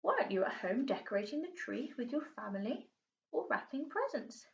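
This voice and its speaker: A man's voice: very high voice, very animated, a little fast, gravelly, full character voice. A woman voicing a kindly, genteel old male tramp with courtly, polite manners in a storybook reading. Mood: gentle, inquiring. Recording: roomy, distant, muffled home recording.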